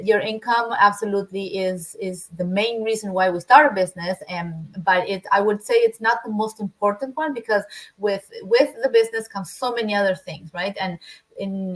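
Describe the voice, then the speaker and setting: A woman with a Spanish accent, a native Spanish speaker, talking as a guest in a casual interview, often restarting her sentences and filling pauses. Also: medium pitch, a bit bright, poor audio. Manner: hesitant, many restarts and fillers.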